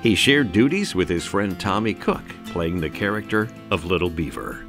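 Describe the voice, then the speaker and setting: A man's voice: deep voice, animated, moderate pace, over music. A man reading scripted narration for a documentary.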